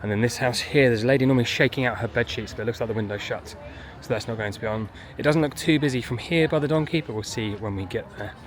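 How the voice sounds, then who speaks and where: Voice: medium pitch, fast talker, few pauses. A parent narrating a family vlog in a casual walk-through style, out and about in a theme park. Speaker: vlogger, parent on a family outing. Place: outdoors in a theme park, walking, sometimes inside small buildings. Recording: loud music behind, outdoor park ambience. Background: loud music.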